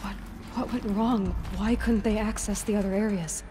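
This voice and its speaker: A young video game heroine, played by a woman, exploring with troubled curiosity. Her mid-pitched voice is hushed and questioning, over clearly audible ambient noise. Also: steady tone, normal speed, lightly acted. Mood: searching, curious. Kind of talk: scripted video game dialogue.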